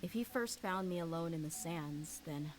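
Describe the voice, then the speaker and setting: A woman voicing a tough but warm-hearted female desert mercenary, speaking steadily and earnestly. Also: low voice, normal speed, a little hiss or hum, close to an everyday voice. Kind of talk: game voice acting.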